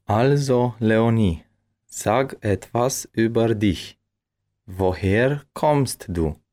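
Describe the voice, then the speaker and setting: A man reading his part of a bilingual language-lesson dialogue with careful, deliberate enunciation. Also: lowish voice, warm tone.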